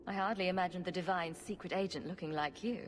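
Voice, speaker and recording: A woman's voice: lowish voice, quick pace, slight background noise, close to an everyday voice. A woman playing a wry, inquisitive heroine in a fantasy video game, direct and questioning.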